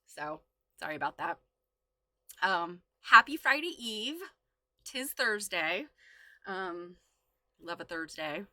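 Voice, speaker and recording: Casual female livestream host, chatty and playful, bright voice, close dry sound. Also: fairly low pitch, animated, slow pace, pauses often, no room sound.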